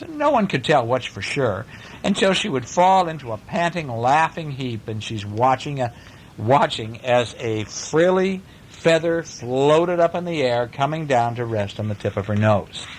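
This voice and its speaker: Animated man reading a children's bedtime story. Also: mid-range voice, slight background noise, rough recording. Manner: playful, with asides and questions to young listeners.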